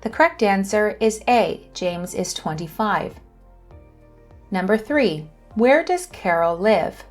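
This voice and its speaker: Slow, careful woman teacher. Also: medium pitch, animated, soft background music. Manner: slow, careful reading of questions and answers. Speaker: English teacher and channel host. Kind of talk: scripted lesson narration.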